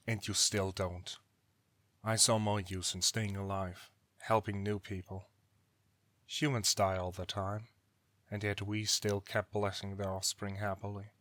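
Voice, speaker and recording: A man's voice: lowish voice, a bit bright, no echo. A man playing an ancient god in an audio drama, a bitter, weary brother who speaks formally and solemnly without remorse. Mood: cold, reproachful. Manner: formal archaic diction, grave, deliberate. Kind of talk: dramatic audio roleplay acting.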